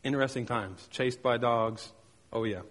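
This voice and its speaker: A man's voice: mid-range voice, normal speed, a bit bright, long reverb tail. A man delivering a sermon, telling personal stories with emphatic repetition and playful sound effects. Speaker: pastor.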